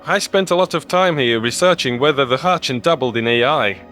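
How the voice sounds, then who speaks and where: A man's voice: mid-range voice, brisk, fairly bright tone, soft background music, voice pushed up, close to an everyday voice. A man voicing a scruffy, earnest human conspiracy theorist in audio story dialogue. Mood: speculative.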